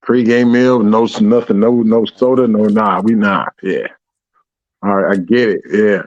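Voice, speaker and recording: A man's voice: lowish voice, fairly even intonation, unhurried, darkish tone. A man hosting a podcast in casual chat, laid-back and slangy. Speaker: podcast host. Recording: dry, dull lo-fi sound.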